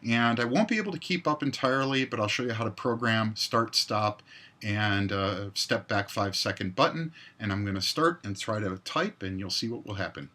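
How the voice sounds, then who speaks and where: Male software tutorial narrator, bright crisp edge, pitched a little low, instructional step-by-step delivery. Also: normal speed. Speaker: tutorial presenter. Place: indoors, screen recording voice-over.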